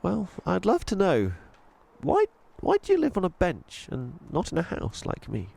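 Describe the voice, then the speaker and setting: A man's voice: high-pitched, huge pitch swings, normal speed, creaky, darkish tone, breathy edge, voice pushed up, heavily acted. Man voicing a shy, lilting schoolgirl. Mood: polite, awkward. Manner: hesitant, polite. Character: shy, polite schoolgirl, awkward and unsure of herself. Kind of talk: children's storybook character reading.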